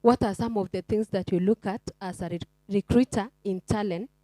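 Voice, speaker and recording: A woman's voice: mid-range voice, moderate pace, mellow, dry recording. Woman moderating a career panel. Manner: chatty, teasing asides to the audience.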